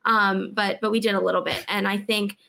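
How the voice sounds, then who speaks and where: Hoarse woman with a gravelly voice. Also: mid-range voice, flat delivery, brisk, pushed and bright. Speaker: podcast co-host guest. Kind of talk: casual roundtable chat.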